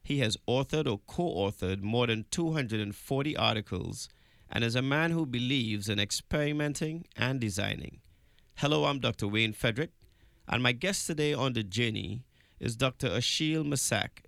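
A man's voice: medium pitch, normal speed, creaky, fairly bright tone, no echo, studio quality. A man hosting an interview show, reading a polished introduction and asking composed questions.

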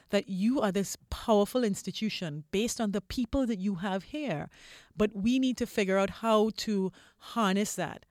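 Female interview guest from Trinidad, mid-pitched, articulate and emphatic executive explainer, recorded dry. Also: no room sound.

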